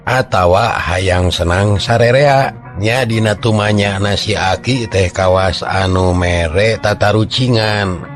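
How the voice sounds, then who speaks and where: A man's voice: on the low side, takes it slowly. A man telling a dramatized folk story as dialogue, in an earnest, conversational manner. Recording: music behind the voice.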